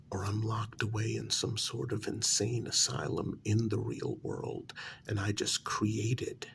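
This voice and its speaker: A man acting as a frustrated, delusional psychiatric patient in an ASMR role-play. His voice is soft and breathy, slipping into whispers, with a gravelly fry. He speaks slowly and haltingly, as if sure he made this world, over faint background noise. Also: lowish voice. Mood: weary, musing. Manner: conversational, halting, pointedly questioning.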